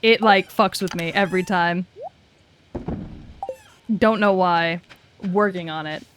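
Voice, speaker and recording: A woman's voice: lowish voice, slow, a little hiss or hum. Giggly young woman streaming a game. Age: 20s. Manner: giggly, swears casually, mutters while working things out. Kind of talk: casual gaming stream chat.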